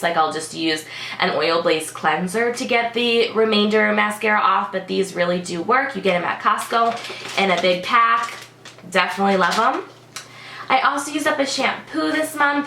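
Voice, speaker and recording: A woman's voice: mid-range voice, pushed and bright, echoey room, slight background noise. A woman beauty vlogger with a congested, sick-sounding voice, chatting casually through a product review with lots of "like" and "um". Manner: chatty, many "like" and "um" fillers.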